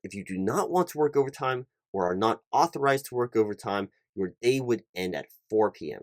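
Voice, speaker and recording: Low-pitched man, officious interview roleplay. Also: no echo. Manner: polite, officious, halting corporate patter. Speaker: performer playing a recruiting hiring manager. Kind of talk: one-sided job interview roleplay, ASMR.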